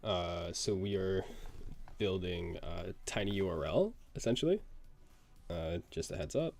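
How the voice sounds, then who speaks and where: A man streaming himself coding, thinking aloud in a casual, halting way with many fillers, sounding a little nasal from a cold. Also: low voice, expressive, darkish tone. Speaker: live-streaming programmer. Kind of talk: casual live coding chat.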